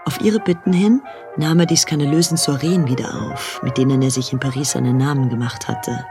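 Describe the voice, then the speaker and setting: A man as the storyteller of a radio drama, giving sober, measured scripted narration. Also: mid-range voice, steady tone, lightly acted. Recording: music under the narration.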